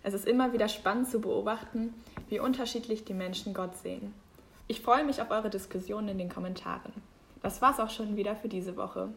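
A woman's voice: mid-range voice, brisk, creaky, a little hiss or hum. A woman hosting a podcast, friendly and reading her moderation from a script. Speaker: podcast host.

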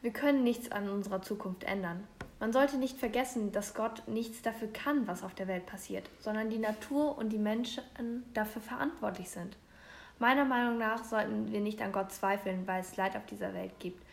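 A woman's voice: mid-range voice, moderate pace, gravelly, slight background noise. A woman in her twenties, a theology student, stating her views matter-of-factly. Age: 20s.

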